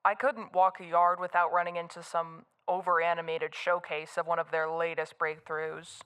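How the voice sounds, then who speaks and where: A woman's voice: fairly low pitch, little pitch movement, moderate pace, fairly bright tone, clean tone, lightly acted. An actress playing a guarded, matter-of-fact woman answering an interviewer in an audio drama. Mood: uneasy, critical. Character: guarded, unimpressed woman witness recounting to a reporter.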